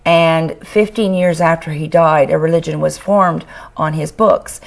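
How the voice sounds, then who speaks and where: Canadian woman guest with a hoarse voice. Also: sits low, steady tone, unhurried. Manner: enthusiastic, chatty. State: losing her voice. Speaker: author guest. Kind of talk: talk show interview. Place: TV studio.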